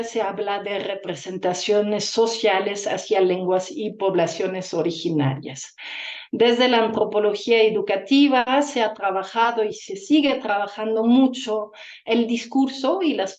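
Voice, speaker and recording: A woman's voice: mid-range voice, darkish tone, reverberant. A woman academic presenting a conference paper fluently, in a lecturing tone with rhetorical tags.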